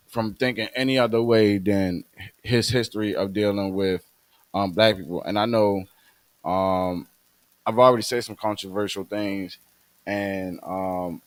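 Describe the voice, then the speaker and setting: A man co-hosting a podcast, talking casually in a halting way with many fillers and restarts. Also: lowish voice, no echo. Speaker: podcast co-host.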